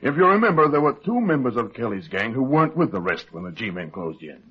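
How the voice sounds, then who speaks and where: Husky, commanding old company boss. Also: male voice, medium pitch, big pitch swings, fairly quick. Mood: confiding, brisk. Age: older. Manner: businesslike, emphatic, authoritative. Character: brisk, commanding old male insurance company president. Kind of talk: old-time radio drama acting. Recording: muffled old radio broadcast recording.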